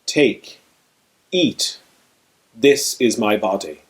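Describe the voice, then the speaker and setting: A man's voice: fairly low pitch, expressive, very slow. A man of the clergy reading the words of Jesus in a solemn, dramatised scripture reading.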